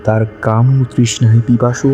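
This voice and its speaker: A man's voice: mid-range voice, completely flat delivery, normal speed, dark tone, dull audio. A man narrating a scripted dramatic audio story. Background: background music.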